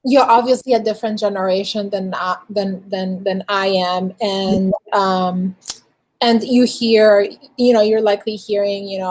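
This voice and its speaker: Young lady host w/ foreign accent, casual interview chat, kinda halting and wordy. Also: mid-range voice, darkish tone, low-quality recording.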